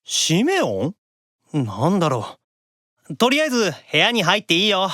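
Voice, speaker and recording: A man voicing a reclusive, nerdy male demon in an anime-style audio drama, excitable and wary. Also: high voice, normal speed, slight background noise, exaggerated voice. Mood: puzzled, wary.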